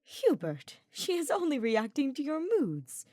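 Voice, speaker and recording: A woman's voice: high-pitched, wildly swooping pitch, a little slow, mellow, no echo, pitched above the performer's own voice, full character voice. A genial noble knight , a man as a woman reader puts him on from a fantasy storybook. He speaks formally and earnestly with a teasing streak, in a dry, close recording. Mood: disapproving.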